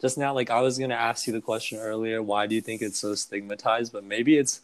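A man's voice: fairly low pitch, fairly quick. A young adult man who grew up in the United States and China, hosting a podcast and talking casually with a blunt, swear-heavy manner. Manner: blunt, swears freely, relaxed. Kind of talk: casual conversation.

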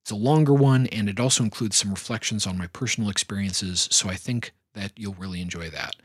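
A man hosting a solo podcast, talking in a measured, thoughtful way. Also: lowish voice, breathy edge, no echo, clean studio sound. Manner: measured, thoughtful delivery with fillers. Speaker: podcast host. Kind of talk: reflective solo podcast talk.

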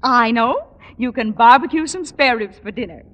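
An actress playing a chirpy, bossy middle-aged wife in 1950s radio comedy, gushing and fluttery, on an old recording. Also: female voice, fairly high pitch, lively intonation, moderate pace, fairly bright tone. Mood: excited.

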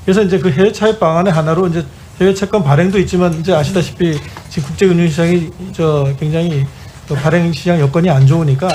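A fairly high-pitched man with a soft, mellow tone gives formal testimony. His explanations are halting and hesitant, with faint noise behind him. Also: fairly even intonation. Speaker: bank chief executive testifying to parliament. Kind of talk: formal testimony, answering questions. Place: parliamentary hearing room.

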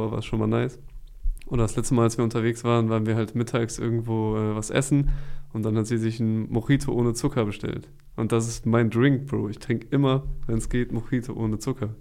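A young male podcast host with a gravelly, fry-heavy voice that sits on the low side. His intonation stays fairly flat while he chats in a laid-back, slangy way, often joking. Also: fast. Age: young adult. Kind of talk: casual chat, youth slang.

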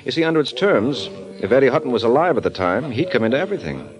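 An actor playing a wry, teasing private detective who lays out a case in an old radio drama. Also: male voice, medium pitch, sing-song, fast talker, natural voice. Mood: explaining. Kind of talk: 1950s radio acting. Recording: dull old radio broadcast with music.

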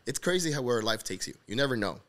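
A man's voice: mid-range voice, animated, fast talker, a bit bright. A man in his thirties from Honduras hosting a casual interview, informal and encouraging, repeating phrases for emphasis. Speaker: podcast host.

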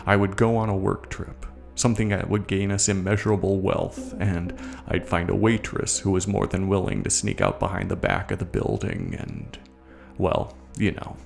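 A man playing a bitter, haunted military veteran in a gravelly, confiding horror story monologue, profane and speaking straight to the listener. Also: deep voice, expressive, moderate pace. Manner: conversational, confiding, addresses the listener directly. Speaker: narrator of a horror short story. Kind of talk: scripted first-person horror monologue. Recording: voice over a music soundtrack.

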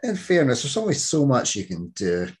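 A man in his thirties hosting a podcast, joking and bantering in casual chat. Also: medium pitch. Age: 30s. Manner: joking, lively, quick to banter. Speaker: podcast host.

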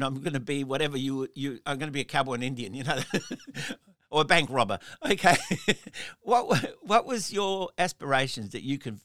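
A man's voice: mid-range voice, fairly bright tone, no echo, clean studio sound. A man hosting a casual interview, asking rambling questions full of restarts and little laughs. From Australia. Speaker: interview host.

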